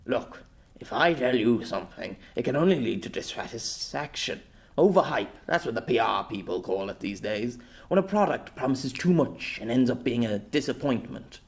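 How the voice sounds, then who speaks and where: A man's voice: mid-range voice, expressive, moderate pace, gravelly. A man voicing a stuffy, proud old former knight with a formal, hesitant manner, in an audiobook story. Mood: firm, patient.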